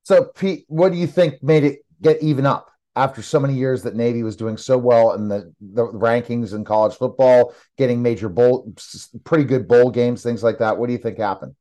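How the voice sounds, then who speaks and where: A male interview host with a medium-pitched voice. He talks in a conversational sports radio style, stacking one loose question on the next in a rambling way. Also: normal speed, hardly any pauses, dark tone.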